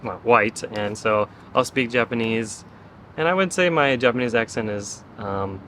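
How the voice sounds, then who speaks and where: A man's voice: medium pitch, moderate pace, a bit bright, a little hiss or hum. A young man being interviewed, earnest and agreeable, chatting with hesitant fillers. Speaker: interview guest living abroad. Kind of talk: casual conversational interview.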